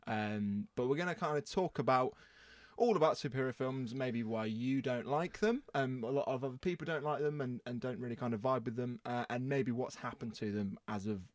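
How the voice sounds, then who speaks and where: A man's voice: mid-range voice, a little fast, no room sound. A man co-hosting a podcast in casual, playful banter with mock-indignant teasing. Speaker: podcast host.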